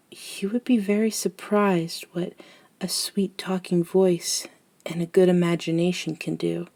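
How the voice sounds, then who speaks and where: A woman voicing a gentle elder vampire in an ASMR roleplay, speaking softly and breathily, often near a whisper, soothing and reassuring. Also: fairly low pitch, gravelly, exaggerated voice. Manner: slow, soothing, reassuring, with long pauses. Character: gentle elder female vampire mentoring a nervous young one. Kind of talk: soft-spoken ASMR roleplay monologue.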